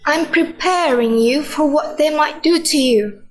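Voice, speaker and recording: A woman's voice: high voice, faint music behind. A woman playing a sardonic wife who questions her husband in a radio drama. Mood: pointed. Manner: sarcastic, deliberate, questioning.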